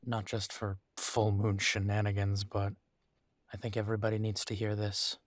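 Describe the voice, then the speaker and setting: A man playing a protective, weary but determined werewolf pack leader in an intimate roleplay audio drama, speaking deliberately with pauses. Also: lowish voice, completely flat delivery, dry recording, lightly acted. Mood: firm, resolute.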